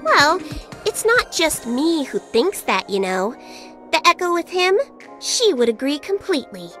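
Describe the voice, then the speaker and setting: A woman's voice: high voice, big pitch swings, unhurried, bright, forceful tone, soft background music, exaggerated voice. A woman voicing a small, cheeky female fairy in a video game, blunt, flippant and teasing. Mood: frank, casual. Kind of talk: video game cutscene acting.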